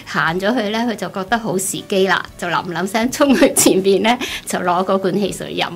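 A middle-aged woman with a Hong Kong Cantonese accent, giving a personal testimony in an expressive, conversational storytelling way. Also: mid-range voice, brisk, gravelly. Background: background music.

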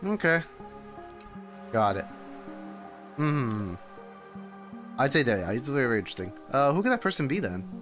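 A man's voice: mid-range voice, sing-song, moderate pace, long pauses, a bit bright. A man streaming a game reaction in a casual, muttering, thinking-aloud way. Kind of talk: casual livestream reaction chat. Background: game music.

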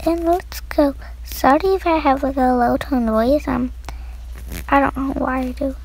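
Young-sounding woman, high voice, rambling gameplay chatter, faint hiss. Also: steady tone, darkish tone, poor audio. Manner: rambling, halting, thinks aloud. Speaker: gaming video host. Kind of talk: casual gameplay commentary.

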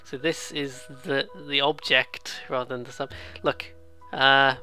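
A let's player giving casual, excitable, rambling game commentary, often interrupting themselves and reading dialogue aloud. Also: mid-range voice, a little slow, clear, resonant tone. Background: game music.

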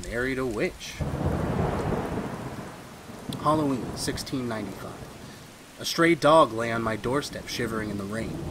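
Wry ageless husband played by a man, noisy recording. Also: medium pitch. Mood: wry, reminiscing. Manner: wry, reflective storytelling. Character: ageless centuries-old man married to a witch, wry, uneasy. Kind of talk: retro 1940s radio drama narration and acting.

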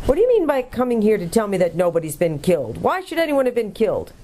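A woman's voice: medium pitch, wildly swooping pitch, fast, mellow, voice pushed up. A woman voicing a deaf, irritable old male dwarf who barks querulous questions.

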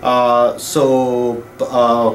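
A man on a phone call in a small office, talking slowly with many fillers and drawn-out words. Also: medium pitch, completely flat delivery. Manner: drawn-out, filler-heavy talk. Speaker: real estate investor bidding at auction. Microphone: phone held at mouth, speakerphone. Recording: roomy office with faint hum.

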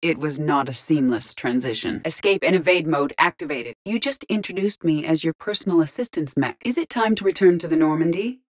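A woman voicing a calm, curious, literal-minded female ship artificial intelligence in a video game, speaking formally and precisely. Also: low voice. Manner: measured, formal, precise diction. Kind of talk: scripted video game character dialogue.

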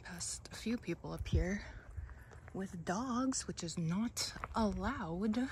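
A woman muses aloud as she walks a wooded trail, her voice mid-pitched and unhurried. She drops into soft whispers now and then. Faint outdoor noise sits under the handheld phone recording. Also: expressive. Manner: slow, musing, thinking aloud with soft whispered asides. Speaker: hiking vlogger. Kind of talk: casual hiking narration. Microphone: phone camera held by the narrator.

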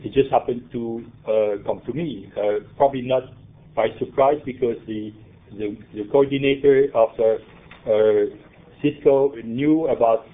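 Halting Belgian-born man presenting over tinny audio. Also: medium pitch. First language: French. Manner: halting, many fillers and restarts. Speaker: conference presenter, center principal investigator. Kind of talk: conference presentation. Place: conference room. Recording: tinny phone-like conference recording.

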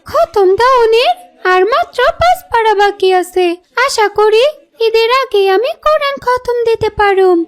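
A very high, childlike cartoon voice of an affectionate village girl. She speaks with a Bangladeshi accent in rural dialect, eager and insistent. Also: unhurried. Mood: eager, reassuring. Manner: excited, pleading, rural dialect. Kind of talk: cartoon voice acting.

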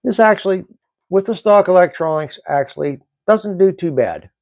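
A middle-aged man giving a casual hands-on hobby review in halting, explanatory short phrases. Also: sits high, expressive, creaky, a little mellow, no room sound, lo-fi sound. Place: small indoor hobby workbench.

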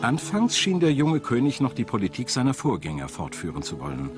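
A man with a standard German accent narrating a scripted documentary in a measured, dramatic storytelling manner. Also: mid-range voice, huge pitch swings. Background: background music.

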